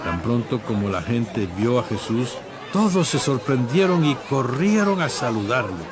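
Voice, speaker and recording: A man narrating a scripted scripture story in a measured storytelling manner. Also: medium pitch, big pitch swings, a crowd in the background.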